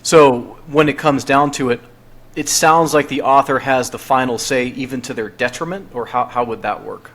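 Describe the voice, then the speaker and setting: A man hosts a podcast conversation, relaxed and chatty, his voice in a plain middle register. He talks loosely and casually, now and then breaking into a light chuckle. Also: medium pitch, moderate pace. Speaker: podcast host.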